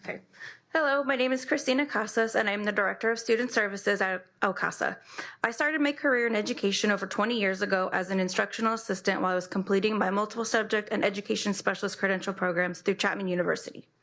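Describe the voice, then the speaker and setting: A woman's voice: medium pitch, fairly even intonation, quick pace, fairly bright tone. A middle-aged woman with an American accent, reading a prepared statement at a public meeting. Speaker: school staff director speaking as public commenter.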